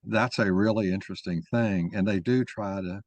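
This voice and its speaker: A man arguing a point in informal discussion, halting and rephrasing as he goes as the moderator. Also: fairly low pitch, fairly even intonation, dry recording. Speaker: moderator and main speaker of a mock-trial discussion.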